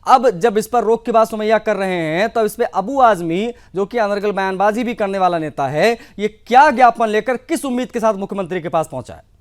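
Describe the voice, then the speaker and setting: High-pitched male news anchor. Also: a little fast. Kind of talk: news presenting and questioning a correspondent.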